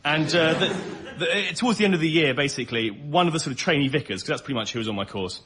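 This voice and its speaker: A man's voice: fairly high pitch, lively intonation, fast talker, pushed and bright, slightly rough. A man trading banter and self-deprecating, mock-pitiful comic stories as a panel guest. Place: stage before a studio audience. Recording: live show with faint background noise.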